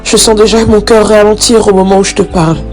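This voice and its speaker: A woman's voice: medium pitch, fairly even intonation, fast talker, darkish tone, natural voice. Woman acting guilt-ridden young daughter over music. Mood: remorseful. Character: troubled young daughter, guilt-ridden, repentant, fearful of death. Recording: background music under the voice.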